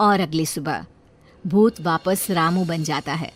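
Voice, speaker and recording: A woman's voice: low voice, measured pace. A woman reading a scripted moral bedtime story as the narrator.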